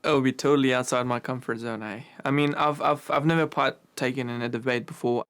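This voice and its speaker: A young man from Afghanistan who speaks. He talks in an earnest, relaxed way, keeping his pitch level and flat. Clear music plays behind his voice. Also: brisk. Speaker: university student. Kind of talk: documentary interview and street chat.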